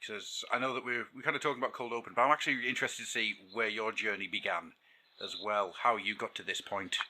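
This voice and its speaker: Male podcast co-host, lowish and very sharp-edged voice, informal and rambling. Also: fairly even intonation, quick pace. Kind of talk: casual chat.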